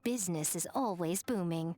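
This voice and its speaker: A woman voicing a sly, teasing, elegant fox shrine maiden in a video game, with coy, theatrical, drawn-out delivery. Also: lowish voice, mellow, natural voice. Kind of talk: English video game voice acting. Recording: music behind the voice.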